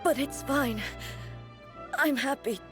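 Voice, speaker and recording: Slow-spoken, solemn warrior goddess. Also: female voice, high voice. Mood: strained, calm. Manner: measured, grave, formal. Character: solemn, regal warrior goddess, resolute and formal. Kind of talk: dramatic video game voice acting. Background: game music.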